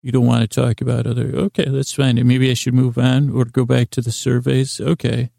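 A man hosting a bedtime podcast in a rambling monologue, mumbling and stumbling apologetically through halting, meandering, self-deprecating asides. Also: medium pitch, flat delivery, vocal fry, dark tone, no room sound, studio quality. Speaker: podcast host. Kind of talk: rambling bedtime monologue to help listeners sleep.

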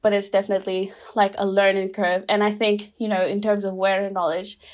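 A young woman from Rotuma, a guest speaking in casual, reflective conversation, full of "like" and "you know" and quick to laugh. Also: mid-range voice, flat delivery, highs cut off. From Rotuma, Fiji. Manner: chatty, many fillers, laughs easily.

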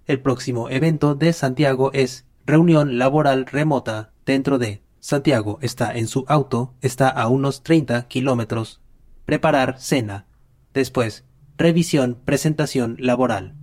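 A man's voice: medium pitch, measured pace, darkish tone, close to an everyday voice. A man voicing a smart-home system that answers in clipped, mechanical announcements.

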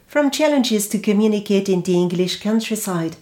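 A woman hosting a podcast, reading a scripted introduction and then interviewing a guest in a measured, deliberate way. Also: medium pitch, lively intonation, warm tone. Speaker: podcast host.

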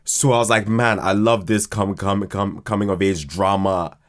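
A man chatting casually as a podcast guest, halting and often repeating words as he explains his ideas. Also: fairly low pitch, fairly even intonation. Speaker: podcast guest, writer and creator of a fiction podcast. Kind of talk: casual interview chat.

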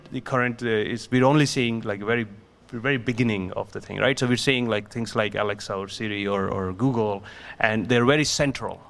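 A man's voice: fairly low pitch, a bit bright. A man who founded a startup, answering interview questions in a technical, explanatory way and often restarting his sentences.

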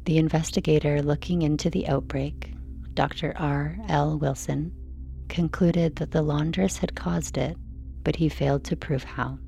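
A woman narrates a bedtime story in a low, softly mellow voice. She reads slowly and soothingly from a script, with faint music playing behind her. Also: fairly even intonation, creaky. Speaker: narrator reading a sleep story.

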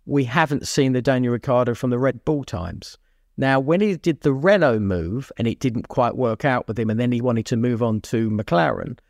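A man's voice: medium pitch, a little mellow, dry recording, studio quality. A man co-hosting a podcast, talking sport casually and emphatically, repeating phrases and posing rhetorical questions. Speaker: podcast co-host.

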